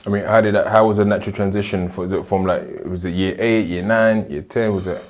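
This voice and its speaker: A man's voice: deep voice, fairly even intonation, fairly quick. A man, hosting a podcast in a casual interview style. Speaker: podcast host.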